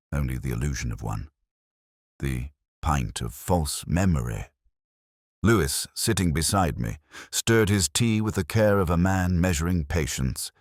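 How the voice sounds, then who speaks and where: A man playing a brooding English police detective, narrating a mystery drama in a measured, literary and melancholy way. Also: a bass voice, dry recording, clean studio sound, full character voice. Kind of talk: scripted first-person mystery narration.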